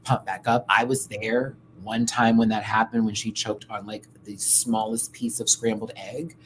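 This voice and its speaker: A man's voice: on the low side, little pitch movement, normal speed, fairly bright tone, a little hiss or hum, rough recording. An American man hosting a livestream, telling personal stories in a candid, chatty way with slang and frequent asides. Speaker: livestream host. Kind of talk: casual personal storytelling on a livestream.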